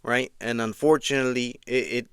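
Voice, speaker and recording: A man's voice: medium pitch, fairly even intonation, moderate pace, clean tone, no echo, clean studio sound. A man who grew up in Eastern Washington, giving a casual spoken commentary and often checking in with "right?". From Mexico, raised in Eastern Washington. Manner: conversational, frequent restarts and "right?" check-ins.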